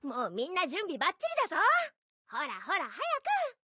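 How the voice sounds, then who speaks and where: A woman voicing a boyish, energetic teenage girl hero in an anime puzzle game, talking in a rough tomboyish way. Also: high voice, moderate pace, dry recording, studio quality, full character voice. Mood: eager.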